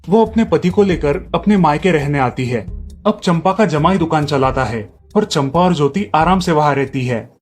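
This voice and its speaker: A man's voice: fairly high pitch, lively intonation, normal speed. A man narrating a scripted cartoon story as the storyteller. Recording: music behind the voice. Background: music.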